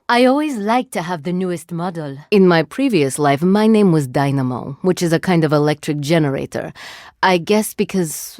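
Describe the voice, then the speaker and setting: A woman voicing a video game cyborg woman, curious, literal-minded and coolly menacing, in formal, measured phrasing. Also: on the low side, a little mellow, dry recording, clean studio sound, close to an everyday voice. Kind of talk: video game character voice lines.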